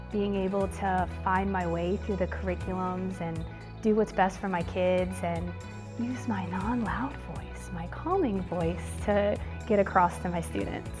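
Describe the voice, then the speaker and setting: Earnest woman teacher, naturally loud voice, soft music underneath. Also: mid-range voice. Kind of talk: interview testimony.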